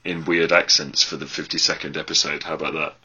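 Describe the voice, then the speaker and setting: A man hosting a casual gaming podcast, sarcastic and teasing, quick to laugh. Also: rumbling low, flat delivery, muffled recording, crowd noise. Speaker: podcast host.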